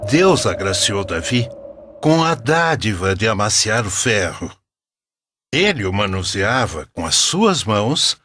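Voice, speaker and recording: A solemn Brazilian grandfather, tells cartoon stories in a husky voice. His delivery is slow and reverent, with lively rises and falls in pitch. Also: no echo, clean studio sound, full character voice. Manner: measured, reverent. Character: solemn, kindly grandfather telling religious stories. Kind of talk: scripted storytelling narration.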